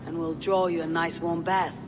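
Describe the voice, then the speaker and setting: An actress playing a steady Viking woman leader who speaks calmly, bluntly and dryly in game cutscenes. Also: on the low side, moderate pace, big-room sound, over music, natural voice. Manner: calm, dry, blunt. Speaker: game protagonist.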